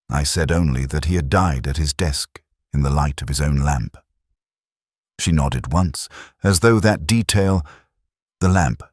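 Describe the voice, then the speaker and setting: A man with an extremely deep voice narrates a detective mystery in a measured, solemn way. His pitch moves expressively through each reflective phrase. The sound is close and dry. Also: a little mellow, husky, dry recording. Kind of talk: slow literary first-person mystery narration.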